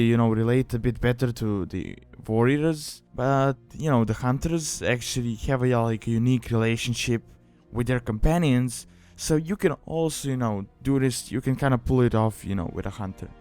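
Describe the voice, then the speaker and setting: Man, medium pitch, clear smooth voice, casual tutorial narration, rambling delivery full of verbal fillers, music underneath. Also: a little mellow. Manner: rambling, peppered with "you know" and "like". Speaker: guide host, gaming video maker. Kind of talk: casual explanatory tutorial voice-over.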